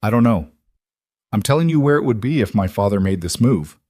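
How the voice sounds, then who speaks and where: A man's voice: on the low side, animated, fairly quick, a little mellow, dry recording. A guarded young mafia heir in an audiobook thriller, played by a male narrator. He sounds conflicted and reluctant, in a dry recording with no room sound.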